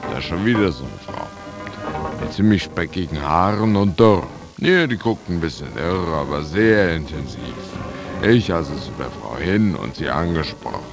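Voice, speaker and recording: A man's voice: deep voice, lively intonation, normal speed, gravelly. An actor playing a worn-out, aimless drifter who tells his story in coarse, slangy, clipped sentences in a radio drama. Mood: weary, coarse. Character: worn-out, aimless male drifter telling his own misadventure. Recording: music behind the voice. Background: guitar music.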